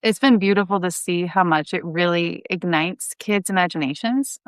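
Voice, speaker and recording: A woman in her thirties talks as a podcast guest. Her voice is clear and resonant, sitting a bit low. She is enthusiastic and likes to stress a point, and the sound is close and dry. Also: normal speed, dry recording. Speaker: podcast guest, audio drama writer. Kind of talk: conversational interview answers.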